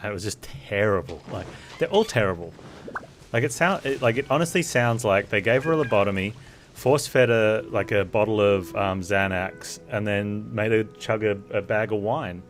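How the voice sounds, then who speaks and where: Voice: medium pitch, moderate pace, faint music behind. A game streamer giving casual, joking commentary over gameplay in a sarcastic, irreverent manner.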